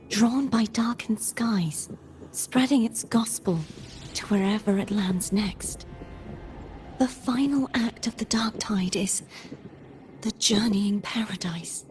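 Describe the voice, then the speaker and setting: A woman playing a solemn young former warrior in a video game, speaking in a measured, formal way with some breathiness. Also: fairly high pitch, darkish tone, close to an everyday voice. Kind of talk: scripted video game dialogue.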